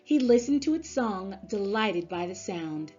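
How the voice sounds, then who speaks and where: A woman narrating a children's story aloud in an expressive storybook-reader style. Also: medium pitch, measured pace, breathy edge. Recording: slightly dull sound, music underneath. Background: music.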